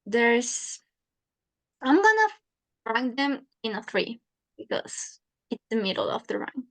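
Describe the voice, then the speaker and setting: Slow, giggly teenage woman. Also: a little high, very animated, fairly bright tone, dry recording, low-quality recording. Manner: chatty, giggly, teasing. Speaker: university student, interviewee. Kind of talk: casual conversation practice.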